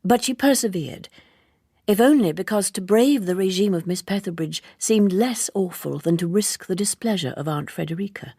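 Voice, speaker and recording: A woman reading literary narration as an audiobook narrator, in a measured, precise and formal way. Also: medium pitch, warm tone, husky, dry recording, clean studio sound.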